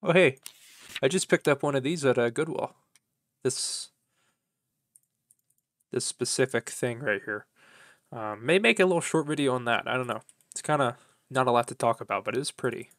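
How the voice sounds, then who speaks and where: Male vlogger, bright forward voice, mid pitch, informal rambling delivery with folksy phrasing. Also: animated, moderate pace, pauses often, no echo, studio quality. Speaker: YouTube vlogger, vintage electronics collector. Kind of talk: casual rambling vlog chat.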